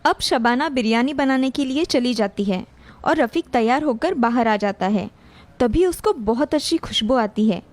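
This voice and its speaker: A woman's voice: fairly high pitch, expressive, normal speed, dry recording. A woman reading a scripted children's story as narrator, with music behind her. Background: background music.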